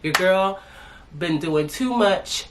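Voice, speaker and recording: A woman's voice: on the low side, lively intonation, slow pace, noisy background. A woman in her thirties chatting casually as a family vlogger, congested from a sinus infection and calling herself "your girl". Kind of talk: casual chat to camera.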